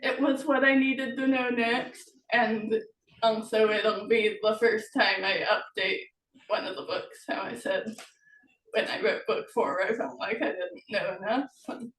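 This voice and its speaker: A woman chatting casually as a host and author, speaking in halting, broken phrases with pauses. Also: on the high side, steady tone, a bit bright. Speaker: host, author of historical novels. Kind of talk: casual chat about writing. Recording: roomy echo, distant from the mic.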